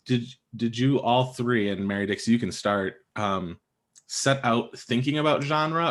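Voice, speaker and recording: A man hosting a book panel on a video call, asking questions conversationally and rephrasing as he goes. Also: fairly low pitch. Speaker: panel host.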